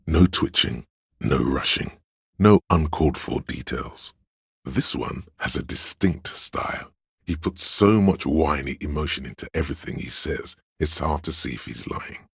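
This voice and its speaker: A male actor voicing a servile, courtly and suspicious adviser in a video game, speaking in a grandiose, measured, theatrical way with a gravelly, husky voice. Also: rumbling low, expressive, no room sound, studio quality, heavily acted. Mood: sly, appraising. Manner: grandiose, measured, addressing listener as sire. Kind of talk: theatrical game character voice lines.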